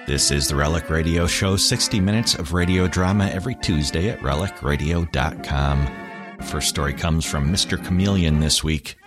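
Casting: male host with an exceptionally low voice, reading show intros over prominent music. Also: normal speed. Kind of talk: scripted podcast introduction.

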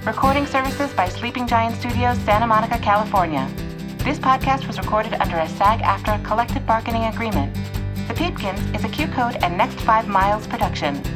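A woman's voice: fairly high pitch, a little fast. Woman reading credits over music. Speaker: credits announcer. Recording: music behind the voice. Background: instrumental music.

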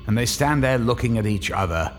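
A man giving measured, ominous scripted narration for a radio drama. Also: medium pitch, lively intonation, soft background music.

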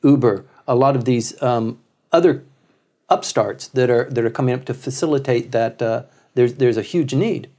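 A man's voice: medium pitch, normal speed, dark tone. A man acting as interviewer, speaking in a conversational style. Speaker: interviewer, host.

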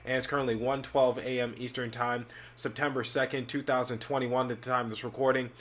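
A man's voice: medium pitch, flat delivery, brisk, a bit bright. A man with a sore, slightly scratchy throat, hosting a sports podcast in a rambling, chatty way with many fillers. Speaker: podcast host. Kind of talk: casual sports podcast talk.

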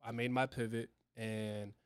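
A man's voice: medium pitch, level tone, unhurried, clean tone, no room sound, studio quality. A man hosting a podcast, chatting casually, affirming his guest and asking reflective questions. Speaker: podcast host. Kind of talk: casual chat.

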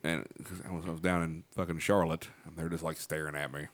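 A man's voice: a bass voice, little pitch movement, fast talker. A man with a Southern drawl, a comedian chatting loosely on a podcast. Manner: laid-back, rambling, often laughing. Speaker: comedian and bartender. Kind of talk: casual podcast chat.

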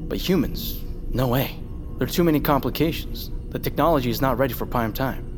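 A man's voice: medium pitch, brisk, natural voice. A man playing a skeptical, earnest scientist in an audio drama, factual and a little hesitant. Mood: doubtful. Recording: clear music bed underneath.

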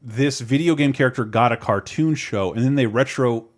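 A man's voice: mid-range voice, moderate pace. A man hosting a casual podcast chat. He trails off, restarts his sentences and laughs in between.

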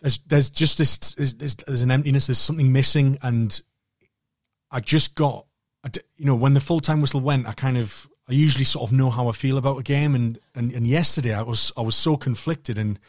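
A Northern English podcast co-host with a mid-pitched voice who speaks casually, stumbling and repeating words as he works through his thoughts. The sound is dry. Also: no echo. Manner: halting, repeats words while thinking aloud.

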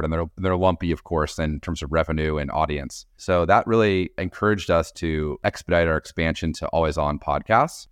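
A man's voice: sits low, smooth, no room sound, clean studio sound. A man answering interview questions about his company, thoughtful, with fillers and restarts. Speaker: podcast network executive.

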